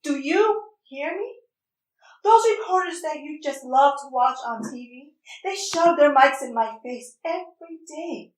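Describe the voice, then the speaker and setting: A woman plays an anguished, defiant mother in an intense drama. The voice is high and unhurried, raw with emotion, moving between English and Spanish. It is heard at a distance in a reverberant room. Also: animated. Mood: anguished, angry. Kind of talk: intense stage-play reading. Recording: echoey room, distant mic.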